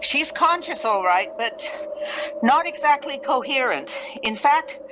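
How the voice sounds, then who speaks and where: High-voiced officer on noisy radio. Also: female voice, expressive, a little slow. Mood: businesslike, uneasy. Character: female search-and-rescue officer reporting by radio. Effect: two-way radio. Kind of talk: radio play acting. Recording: muffled radio sound, loud background noise.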